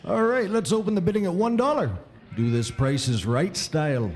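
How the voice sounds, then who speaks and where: A man's voice: high-pitched, animated, normal speed, faint music behind. Man acting as droll, folksy auctioneer. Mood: brisk selling. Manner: dry, wry, showman-like delivery. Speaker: actor in a scripted comedy series. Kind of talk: scripted comedy acting.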